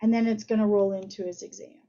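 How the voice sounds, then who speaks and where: A woman's voice: medium pitch, steady tone, fast, warm tone. A woman doctor giving an informal medical lecture, explaining things conversationally with everyday analogies over slightly muffled audio.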